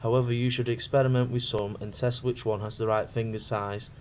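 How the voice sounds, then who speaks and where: A man's voice: medium pitch, level tone, brisk, a little mellow. A young man reading scripted narration for a student documentary in a plain, instructional way. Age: young adult. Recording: dull lo-fi voice-over with faint noise.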